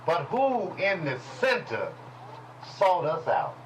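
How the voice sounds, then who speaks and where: A man teaching a congregation in a preaching style, folksy and call-and-response, with speech, dropping at times to low murmurs. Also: huge pitch swings, takes it slowly, slight background noise, rough recording. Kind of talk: preaching Bible lesson. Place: congregation hall.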